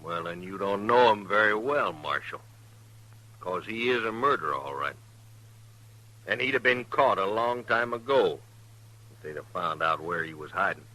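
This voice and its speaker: A menacing hired gunman from a 1950s radio western. His voice is low and sharply forceful. He drawls his folksy lines with smug insolence. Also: expressive, normal speed, long pauses, exaggerated voice, rough recording. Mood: smug defiance.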